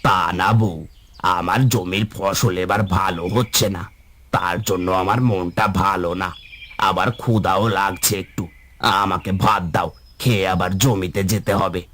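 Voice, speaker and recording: Low-voiced, careworn village farmer. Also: male voice, fairly even intonation, moderate pace, fairly bright tone, a little hiss or hum. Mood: weary. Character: weary, worried male village farmer and husband. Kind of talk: cartoon voice acting.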